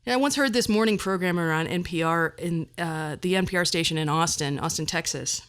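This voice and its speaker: A woman's voice: fairly low pitch, a little fast, a bit bright. A middle-aged woman talking conversationally as a podcast host, with wry, self-deprecating asides.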